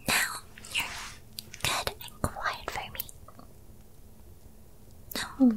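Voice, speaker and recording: A possessive young witch girlfriend, played by a woman, whispers in a high, breathy voice. She takes her time, cooing and coaxing like a lovestruck captor in an ASMR roleplay while keeping firm control. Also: low-quality recording. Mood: soothing, smug.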